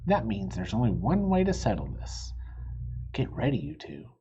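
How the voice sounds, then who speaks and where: A man reading the part of a supportive, thoughtful mother in a children's storybook, gentle and reasoning. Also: fairly low pitch, huge pitch swings, moderate pace, slightly rough, dull audio, pitched below the performer's own voice, heavily acted. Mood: diplomatic.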